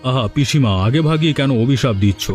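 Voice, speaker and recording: A man playing a reasonable, placating village headman in an animated folk-tale cartoon. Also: mid-range voice, quick pace, soft background music, close to an everyday voice. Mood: soothing.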